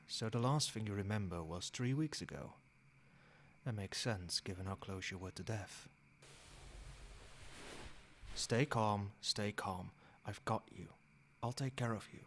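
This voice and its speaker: A man plays an ancient male vampire sire with a low, soft-spoken voice. He talks in measured, formal phrases, soothing yet possessive, in the intimate style of an ASMR roleplay. There is noticeable noise behind the voice. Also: natural voice. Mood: soothing, gentle, then urgent, reassuring.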